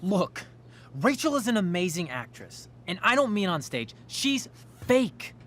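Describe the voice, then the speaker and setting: A man's voice: high voice, big pitch swings, unhurried, fairly bright tone, slight background noise, heavily acted. A man playing an obsessive, self-righteous teenage boy in a video game, insistent and earnest. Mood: accusing. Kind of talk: dramatic video game voice acting.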